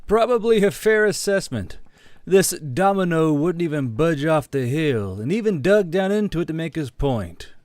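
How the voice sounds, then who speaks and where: A man's voice: a little high, sing-song, normal speed, a little mellow, no room sound, studio quality, pitched above the performer's own voice. Man voicing a haughty, courtly fire sprite colonel. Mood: wry, courtly.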